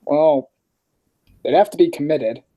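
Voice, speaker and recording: A man's voice: a little high, measured pace, dark tone. A man playing a mild, lonely asylum superintendent in a radio play, formal and hesitant. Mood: officious, hesitant.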